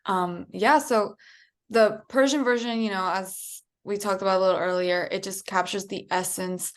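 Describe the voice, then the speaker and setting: A woman's voice: medium pitch, fairly even intonation, moderate pace. A woman interviewer asking questions in an enthusiastic, upbeat way.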